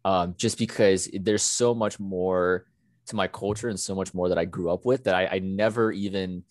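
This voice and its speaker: A man with a notably deep, low-pitched voice, recorded dry with no room sound. He co-hosts a relaxed podcast chat. He speaks earnestly and reflectively, with plenty of "you know" fillers. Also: moderate pace, no echo. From United States. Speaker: podcast co-host. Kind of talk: casual podcast conversation.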